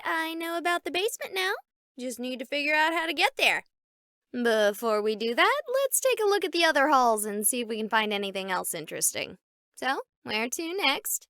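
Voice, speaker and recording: A woman voicing an excitable, jokey young ghost-hunting streamer in a horror visual novel, with a girlish, animated, theatrical delivery. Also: high voice, no room sound. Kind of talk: visual novel game voice acting, livestream narration.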